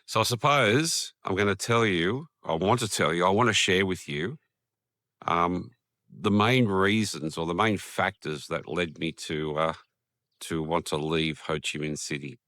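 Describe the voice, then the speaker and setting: Australian man, croaky from a cold, deep voice, crisp bright tone, rambling casual vlog talk. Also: a little slow, no room sound, studio quality. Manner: rambling, with frequent ums and restarts. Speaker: vlogger. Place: outdoors in a quiet park.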